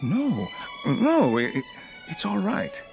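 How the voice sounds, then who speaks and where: Shy gentle cartoon landowner, halting, slow, voice swings up and down, music behind. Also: male voice, on the high side, huge pitch swings, vocal fry, heavily acted. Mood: gentle, flustered. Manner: halting, earnest. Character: gentle, bashful landowner in love. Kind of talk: animated Bible story dialogue.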